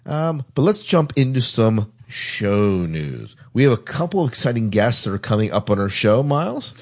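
A man's voice: mid-range voice, very animated, moderate pace, darkish tone. A man hosting a podcast, chatting casually as he runs through show announcements. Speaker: podcast host.

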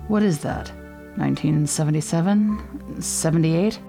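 A woman acts out a female officer of the time police in an audio drama. She is curt and unimpressed, with a low, gravelly voice and dry authority. Faint music runs underneath. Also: unhurried. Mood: stern, dry. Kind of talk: full-cast audio drama acting.

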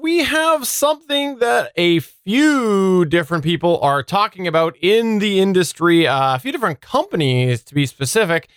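Higher-pitched, clear-voiced male podcast host. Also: fairly bright tone, dry recording, clean studio sound. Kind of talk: conversational podcast news discussion.

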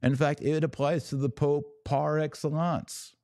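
A man's voice: on the high side, fairly even intonation, a little slow, darkish tone, dry recording, clean studio sound. A man hosting a religious commentary podcast, speaking to listeners in an emphatic, didactic way. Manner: emphatic, didactic, explaining by analogy.

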